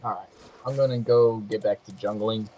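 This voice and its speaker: A man's voice: lowish voice, fairly even intonation, moderate pace, mellow, a little hiss or hum, low-quality recording. Male gaming host, slightly nasal, loose and jokey, trash-talks his opponents. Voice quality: sometimes nasal. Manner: loose, joking, slangy trash talk. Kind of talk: casual gameplay commentary.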